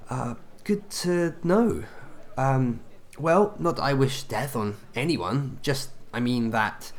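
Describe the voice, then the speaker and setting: A man's voice: medium pitch, slow. A man acting an anxious, eager-to-please, social-climbing husband in a comedy audio drama, hesitant and stammering. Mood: awkward.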